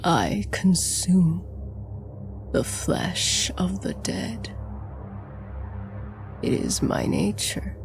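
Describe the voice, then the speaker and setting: A woman voicing a calm, ancient male ghoul with a raspy whisper in a storybook horror tale. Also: on the low side, over music, exaggerated voice. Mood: calm menace. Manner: slow, calm, breathy whispering.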